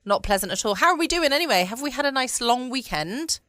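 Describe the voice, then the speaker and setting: A woman's voice: high voice, expressive, fast talker, pushed and bright, no room sound. Chatty British woman, close and dry. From United Kingdom. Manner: chatty, self-deprecating, asks listeners rhetorical questions. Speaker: podcast host. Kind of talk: casual late-night solo chat. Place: home, close dry recording.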